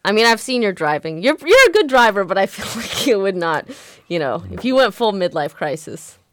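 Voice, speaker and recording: American lady hosting, chatty, laughs a lot, swears, smooth voice, dry close sound. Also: medium pitch, fairly quick, no echo. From United States. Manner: animated, swears, laughs often. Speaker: radio show host. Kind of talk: casual chat.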